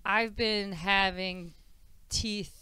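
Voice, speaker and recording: A woman's voice: mid-range voice, fairly even intonation, very slow, sharp tone, smooth, no room sound. A woman in her thirties bantering as a podcast guest, joking and teasing into a handheld mic. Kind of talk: casual comedic banter. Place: small podcast set, indoors. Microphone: handheld microphone.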